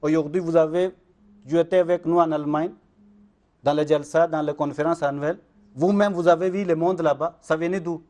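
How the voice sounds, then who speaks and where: A man, speaking in a fairly high voice. He argues insistently as an interview guest, circling back to check that he is understood. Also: steady tone, a little fast, darkish tone. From Pakistan. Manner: insistent, repeats "vous comprenez?". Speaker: Ahmadi missionary guest. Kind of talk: religious interview argument.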